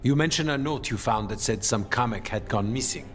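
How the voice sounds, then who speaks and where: An actor playing a grim, determined assassin commander in a video game, terse and serious in manner. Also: male voice, medium pitch, a little fast, soft background music, close to an everyday voice.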